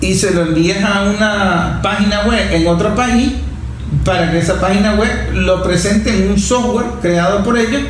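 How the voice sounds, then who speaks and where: High-pitched Venezuelan man in an echoey room. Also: moderate pace. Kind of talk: political discussion, conversational. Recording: very echoey room, faint background noise.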